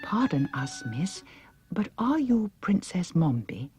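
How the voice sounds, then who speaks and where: A woman's voice: lowish voice, very animated, takes it slowly, warm tone, breathy edge, no room sound, faint music behind, voice pushed up, exaggerated voice. A woman voicing an earnest, anxious young girl heroine in an audiobook, urgent and questioning. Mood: timid.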